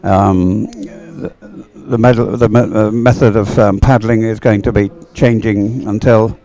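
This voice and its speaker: Mellow, low-voiced male race commentator. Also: fairly even intonation, a little slow. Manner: encouraging. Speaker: sports commentator. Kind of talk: live race commentary, unhurried and chatty.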